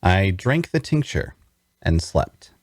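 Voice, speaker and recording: Casting: actor for tired, mistrustful injured male prisoner, deeper register, intimate dry delivery, first-person dramatic storytelling. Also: very animated, takes it slowly, no echo, heavily acted. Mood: weary narration. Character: weary, wary wounded male prisoner narrating his story. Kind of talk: audio drama table read with first-person narration.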